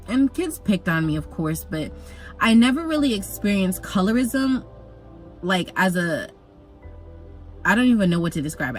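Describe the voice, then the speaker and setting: A young woman who grew up in Jamaica, telling a personal story in a chatty, animated vlog with jokey asides. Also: on the low side. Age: young adult. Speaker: vlogger.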